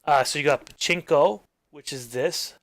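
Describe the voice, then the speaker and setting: A man's voice: a little high, moderate pace, a bit bright, no echo. A man hosting his own channel, thinking aloud as he works on his screen, rambling and trailing off into mumbles. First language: English. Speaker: gaming channel host. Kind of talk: casual screen-recording commentary.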